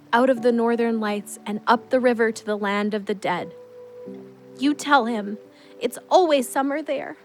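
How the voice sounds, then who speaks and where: A woman's voice: mid-range voice, natural voice. An actress in an audio drama monologue playing a grieving young woman, tender and wistful, speaking in simple storytelling phrases.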